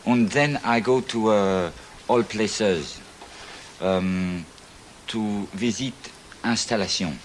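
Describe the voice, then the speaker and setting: An actor playing a French visitor with a French accent, speaking halting, formal English and searching for words. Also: male voice, fairly low pitch, a little hiss or hum. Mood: polite, halting.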